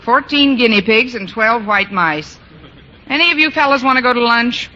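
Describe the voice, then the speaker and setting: An actress playing a wisecracking high school teacher in an old radio sitcom, with dry, sardonic quips. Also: female voice, lively intonation, moderate pace, bright, forceful tone. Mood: wry. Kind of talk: 1940s-50s radio sitcom acting. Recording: noisy, muffled vintage radio broadcast.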